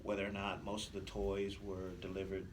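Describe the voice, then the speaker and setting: A man speaking as a police officer in an interview, explaining things haltingly and often repeating words. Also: deep voice, completely flat delivery, a crowd in the background. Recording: slightly distant mic, faint noise.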